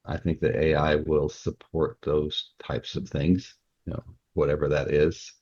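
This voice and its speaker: A man's voice: rumbling low, flat delivery, unhurried, a little mellow, breathy edge, no room sound, highs cut off. A man talking casually as a podcast guest, explaining his views earnestly with examples. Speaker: security company worker.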